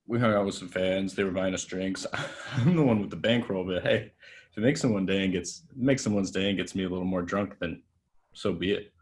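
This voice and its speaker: A man's voice: low voice, brisk, close to an everyday voice. A man reading a drunk, rambling young actor. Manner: rambling and self-pitying. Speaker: table read actor. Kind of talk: table read of a script.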